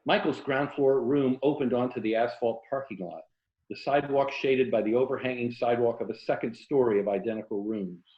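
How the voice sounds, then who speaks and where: A man's voice: mid-range voice, steady tone, a little fast. American man on a roomy, muffled call. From Tampa, Florida, USA. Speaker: writer, interview guest. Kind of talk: casual conversation, then reading own novel excerpt aloud. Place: indoors in an old house, on a video call.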